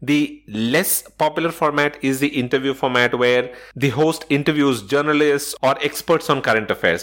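Man, slightly high voice, podcast host, semi-scripted explainer, methodical, instructional delivery. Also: a bit bright.

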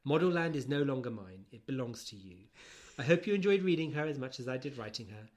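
A man co-hosting a podcast in casual comedic chat, animated and exclamatory, with some swearing. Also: medium pitch, quick pace. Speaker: podcast co-host.

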